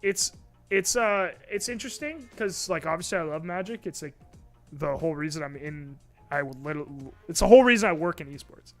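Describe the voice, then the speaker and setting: Rambling casual man, somewhat high voice. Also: lively intonation, fairly quick, soft background music. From Canada. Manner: rambling, hesitant, swears casually. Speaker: co-host. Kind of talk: casual live stream chat. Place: studio.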